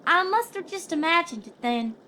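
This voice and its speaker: An earnest little earth pony filly speaks very high and brightly. She sounds young and jumpy, a sincere cartoon storybook character. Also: animated, normal speed, a little hiss or hum, heavily acted. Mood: apologetic.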